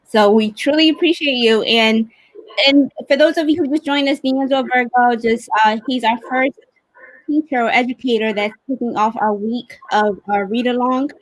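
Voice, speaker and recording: Female livestream host, slightly high-pitched, appreciative and encouraging, informal delivery, dry video-call audio. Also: fairly even intonation, moderate pace, dry recording, low-quality recording. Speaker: host of livestreamed read-along.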